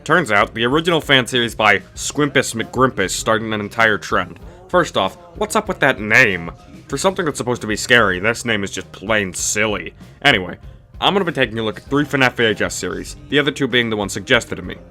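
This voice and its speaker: A man's voice: on the low side, expressive, a little fast, sharp tone, soft background music. A man narrating a scripted comedic review in a snarky, dismissive, mock-exasperated way. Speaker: video reviewer, host of a review series.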